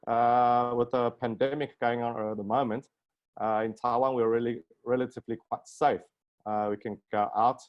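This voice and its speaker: A man's voice: medium pitch, muffled recording. A middle-aged man with a South African accent giving an informal webinar lecture on a video call, joking and self-deprecating. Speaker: guest lecturer, plastic surgeon. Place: indoor room at home, video call.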